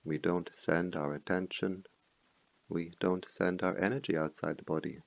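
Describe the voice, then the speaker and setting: A man leading a guided meditation as a teacher, speaking in slow, measured phrases with pauses between them. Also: sits low, fairly even intonation, vocal fry, no room sound.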